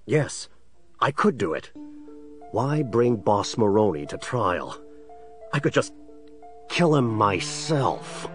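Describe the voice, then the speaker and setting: An actor playing a tormented district attorney cracking into a villain, brooding and theatrical, in a superhero audio drama. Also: male voice, lowish voice, sing-song, takes it slowly, long pauses, soft background music. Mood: brooding, unhinged. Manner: brooding, theatrical, dragging out words. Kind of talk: full-cast superhero audio drama acting.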